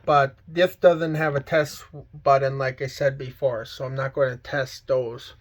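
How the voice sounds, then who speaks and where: A man casually showing items from a collection in a plain, halting, item-by-item way. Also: mid-range voice, flat delivery. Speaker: collector showing weather radios. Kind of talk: casual show-and-tell vlog.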